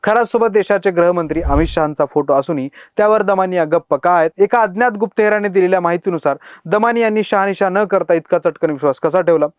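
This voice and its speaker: A man's voice: high voice, fast, hardly any pauses, clean tone, dry recording. A man presenting a scripted news explainer in an emphatic manner, posing rhetorical questions.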